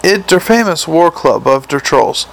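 A man voicing a big, slow-witted male troll sergeant who talks slowly and patiently in a thick dialect. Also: on the high side, lively intonation, vocal fry, a little hiss or hum, low-quality recording. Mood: earnest.

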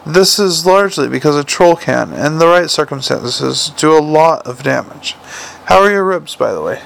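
A man reading aloud, voicing a clever, cultured male troll king who speaks quietly with a teacherly firmness. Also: on the high side, expressive, vocal fry, low-quality recording. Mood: amused. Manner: measured, softly commanding, teacherly.

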